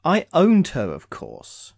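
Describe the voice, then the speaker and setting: A man's voice: fairly high pitch, wildly swooping pitch, slow pace, gravelly, darkish tone, husky, no room sound, full character voice. A man playing an urbane immortal sorcerer in an audio drama, speaking formally with dry, sardonic impatience. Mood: smug, sardonic. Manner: wry, formal, theatrical phrasing.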